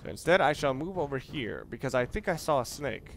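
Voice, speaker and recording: A man's voice: medium pitch, sing-song, fairly quick, creaky. A man giving casual, rambling gameplay commentary as a let's play gamer, with wry, sarcastic asides. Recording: noisy, game sounds behind. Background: video game ambience.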